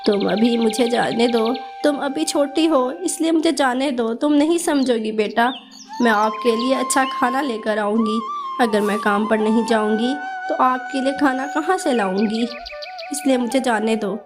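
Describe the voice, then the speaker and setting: A woman's voice: on the high side, natural voice. A woman voicing a poor, worried mother sparrow in a cartoon, speaking in a pleading, anxious way, with music behind. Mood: tender. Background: background music.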